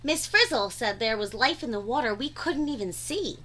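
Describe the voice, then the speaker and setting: Bright, animated woman reading aloud. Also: fairly high pitch. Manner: animated, dramatic emphasis with drawn-out words. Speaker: teacher reading aloud to children. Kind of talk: expressive storybook read-aloud.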